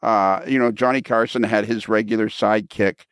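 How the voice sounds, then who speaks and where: An older man hosting a podcast, needling his guest in casual banter with halting, repeated starts. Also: lowish voice, fairly even intonation, fairly bright tone, clear, resonant tone, no room sound. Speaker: podcast host.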